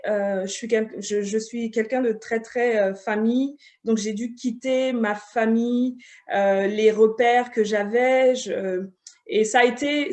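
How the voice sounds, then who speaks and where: A woman from Congo, a community leader speaking as a guest in an online panel discussion, halting often with fillers and restarts. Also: medium pitch, darkish tone. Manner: hesitant, many restarts and fillers.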